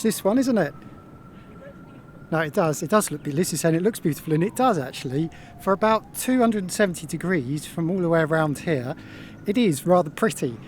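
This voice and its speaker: A man's voice: lively intonation, normal speed. A man hosting a sailing vlog, explaining things casually in a laid-back, wry, matter-of-fact way. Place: outdoors on a sailboat. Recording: noisy outdoor boat recording, engine rumble.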